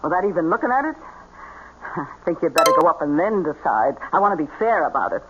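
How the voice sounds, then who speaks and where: A woman's voice: deep voice, lively intonation, quick pace. An actress playing a bossy, scheming female con artist with folksy, commanding speech in an old-time radio drama. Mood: brisk, folksy. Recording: dull, noisy old radio recording.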